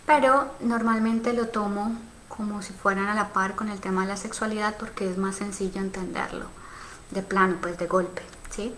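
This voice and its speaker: A woman's voice: mid-range voice, fairly even intonation, moderate pace, a bit bright, a little hiss or hum, poor audio. Colombian woman in her thirties, musing aloud. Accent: Colombian, from Cali. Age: 30s. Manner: reflective, thinking aloud with many fillers and tag questions. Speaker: live stream host on sexuality. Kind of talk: casual live stream, answering viewer comments.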